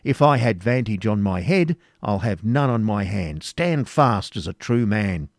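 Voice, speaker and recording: A bold medieval knight from a storybook, voiced by a male reader, sure of himself and fond of needling. His archaic speech is wry and provoking, in a lowish voice with a dry sound. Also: lively intonation, measured pace, dry recording. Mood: bold challenge. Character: bold, teasing medieval knight, unshakeably confident.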